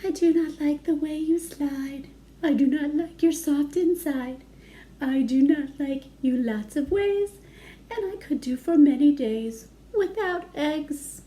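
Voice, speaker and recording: A woman's voice: high voice, slow pace, mellow, voice pushed up. A woman voicing a fussy, singsong young girl in a read-aloud storybook. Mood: playful singsong.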